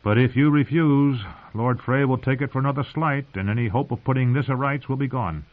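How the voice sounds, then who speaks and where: A man's voice: medium pitch, a little fast, phone-quality sound, voice pushed up, close to an everyday voice. A man narrating an audiobook as a young king, cautious and weary, speaking with formal authority. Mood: weary, firm.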